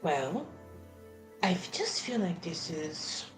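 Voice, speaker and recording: A woman's voice: on the low side, very animated, takes it slowly, faint music behind. A woman playing a tabletop roleplaying game, talking in casual, improvised table conversation.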